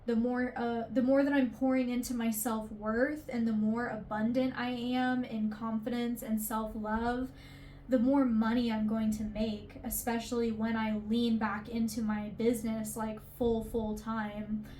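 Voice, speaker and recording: Woman, 20s, confessional vlogger; unhurried, rambling, emotional delivery, slightly high. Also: level tone, dark tone, a little hiss or hum. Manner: rambling, emotional, swears casually, many fillers. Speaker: artist vlogger. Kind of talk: candid confessional vlog.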